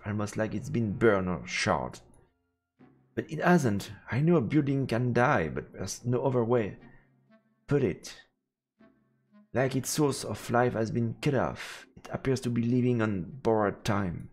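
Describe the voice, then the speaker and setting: A man streaming a visual novel, reading the text aloud as measured, dramatic narration. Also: mid-range voice, darkish tone, faint music behind.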